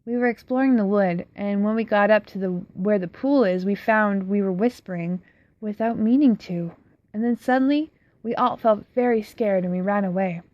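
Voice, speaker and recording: A woman's voice: mid-range voice, normal speed, no echo, close to an everyday voice. Polly, earnest imaginative girl, read by a woman. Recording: slightly dull, dry recording.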